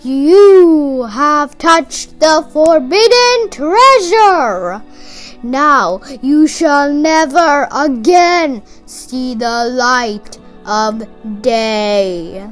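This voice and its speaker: Storyteller acting a slow, wrathful tiger god. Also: sits high, animated, fairly bright tone, full character voice. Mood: wrathful, solemn. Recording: music behind the voice.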